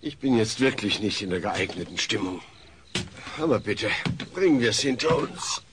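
A man's voice: lowish voice, big pitch swings, moderate pace. An actor playing a dry-witted, exasperated family father in a sitcom audio play. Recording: slightly noisy cassette transfer.

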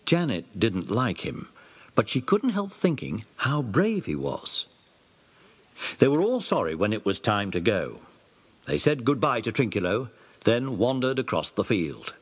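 Breathy male audiobook narrator. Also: medium pitch, big pitch swings, a little slow. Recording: old cassette audiobook recording.

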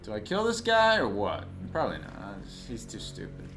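A man's voice: on the low side, huge pitch swings, moderate pace. A man casually commentating his VR gameplay at home, muttering wry, self-deprecating remarks over the game's sounds. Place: home room with VR headset. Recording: game music loud behind voice.

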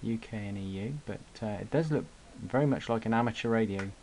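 A man with a fairly low voice presents gear in an informal hobbyist demo. He speaks haltingly, pausing often. The indoor camera recording carries faint background noise. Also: darkish tone. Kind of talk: unscripted product demonstration. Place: indoor room at a radio desk. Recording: clear background noise, clicks.

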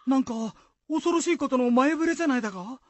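Animated village fisherman. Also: very high voice, brisk, a little hiss or hum. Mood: worried. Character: worried village fisherman husband in a folk tale.

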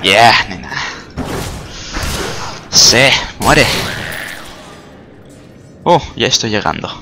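A young man talks over his game, excitable, cursing and joking as he plays. His voice sits on the lower side. The game's music plays clearly underneath. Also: drawn-out words. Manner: excitable, swearing, jokey. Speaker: gamer. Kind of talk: casual gameplay commentary.

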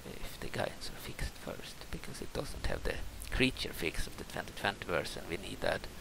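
A man with a Swedish accent giving casual, unscripted game commentary in a halting, hesitant way with many pauses. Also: sits low, sing-song, vocal fry, background noise. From Sweden, adopted from Brazil. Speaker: game modder and video maker. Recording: music behind the voice. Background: music.

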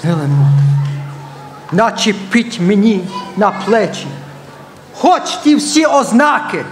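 A man's voice: high-pitched, sing-song, takes it slowly, soft background music, exaggerated voice. A man acting a pompous, melodramatic dictator in a satirical stage monologue in verse. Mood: self-pitying lament.

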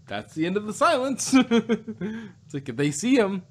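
A man in a casual review chat, talking in a halting, rambling way as a panelist. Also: high voice, very animated. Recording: clear background noise.

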